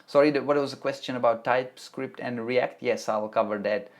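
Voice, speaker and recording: A man's voice: medium pitch, steady tone, a little fast. A man from the Czech Republic with a Czech accent, hosting a live stream and chatting through viewer questions about web development tutorials, often restarting his sentences. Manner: chatty, reads chat aloud, frequent restarts. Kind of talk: live stream Q&A, answering chat. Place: home office, Melbourne.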